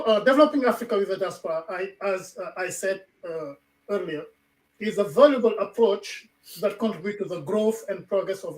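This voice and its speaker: A man hosting a talk radio program, speaking haltingly with many fillers and repeats. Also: sits high. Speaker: radio show host. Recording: distant from the mic.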